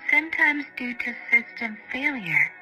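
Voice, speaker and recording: A woman delivering scripted infomercial narration in a measured, formal sales manner. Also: sits high, sing-song, bright, forceful tone, rough recording. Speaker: advertisement presenter. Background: music.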